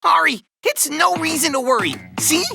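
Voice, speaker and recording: A woman voicing a small, excitable, carefree woodpecker with eager, exclamatory delivery in a children's cartoon. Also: high voice, normal speed, creaky, over loud music, heavily acted. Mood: nervous. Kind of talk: animated children's cartoon voice acting.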